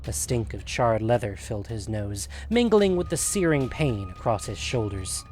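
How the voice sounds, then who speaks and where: A man narrating a scripted fantasy story in a measured, dramatic storytelling manner. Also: mid-range voice, sing-song, a little mellow, soft background music.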